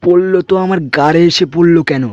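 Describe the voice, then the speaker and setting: Man playing a high-voiced grumbling cartoon grouch. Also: steady tone, fast, natural voice. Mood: puzzled, annoyed. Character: grumpy, foul-mouthed man, puzzled and annoyed. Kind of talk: comic cartoon skit dubbing. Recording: dull, lo-fi, faint noise.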